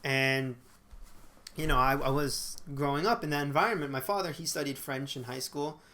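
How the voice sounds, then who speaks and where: A man's voice: mid-range voice, moderate pace, sharp tone. A young man in his twenties talking casually to a webcam in a small bedroom, with frequent fillers and self-corrections. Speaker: vlogger. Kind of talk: casual talking-head monologue.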